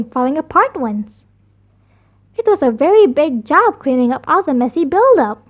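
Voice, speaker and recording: Very animated, high-voiced woman storyteller. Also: dull audio. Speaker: storybook narrator. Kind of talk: children's read-aloud narration.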